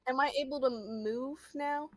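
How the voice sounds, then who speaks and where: Playful high-voiced woman in a tabletop game. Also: normal speed, no echo. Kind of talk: casual, playful game chat.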